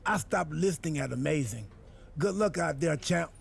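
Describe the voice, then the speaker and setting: Goofy, high-pitched, very gravelly wrestler boss. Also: male voice, expressive, moderate pace, heavily acted. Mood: smug, cheerful. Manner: earnest, absurdly literal, rambling. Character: goofy, scatterbrained, self-important male wrestler playing boss. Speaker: wrestler acting as general manager. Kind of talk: video game cutscene acting.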